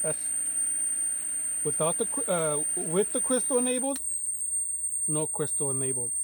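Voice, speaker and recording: A man's voice: a little high, big pitch swings, a little slow, a little mellow, a little hiss or hum, rough recording. A man giving an informal hands-on tutorial as a hobbyist, often repeating phrases as he explains. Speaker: radio repair hobbyist demonstrating.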